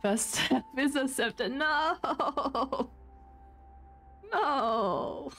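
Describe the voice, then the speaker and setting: A woman streamer from Australia with an Australian accent, whose first language is not English, chatting casually with her chat, laughing often and breaking into high squeals. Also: drawn-out words, fairly bright tone. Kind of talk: casual chat reading chat messages.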